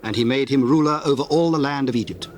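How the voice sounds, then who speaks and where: A man narrating scripture in a solemn, measured, formal way with theatrical diction. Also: mid-range voice, darkish tone, slight background noise.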